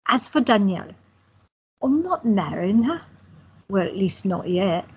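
A woman playing a quiet, teasing photographer in her twenties, trading dry banter with her brother. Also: mid-range voice, very animated, a little slow, breathy edge, heavily acted. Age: 20s. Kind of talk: amateur audio drama acting. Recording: dull lo-fi audio.

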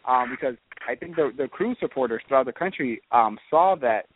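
Phone-in political guest, man, brisk earnest explainer, narrow dry line. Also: medium pitch, expressive, a little hiss or hum. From Miami, born in Puerto Rico. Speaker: guest, local party vice chairman and political campaign surrogate. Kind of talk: political radio interview.

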